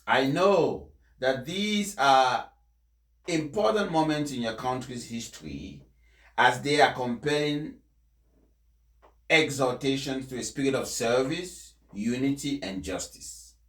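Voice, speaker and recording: The recording has a clearly echoey, roomy sound. A man presents in a medium-pitched voice. His delivery is deliberate and solemn, with the emphatic phrasing of someone reading out a formal address. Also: animated. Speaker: presenter reading out a speech for followers. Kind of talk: formal read-aloud address with informal introduction. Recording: echoey, reverberant room.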